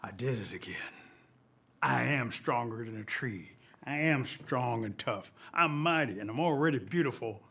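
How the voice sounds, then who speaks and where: A man voicing a vain, boastful turtle who declares himself a mighty warrior in an animated folktale, with emphatic bragging. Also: medium pitch, normal speed, gravelly, pitched below the performer's own voice. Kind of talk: animated oral folktale storytelling.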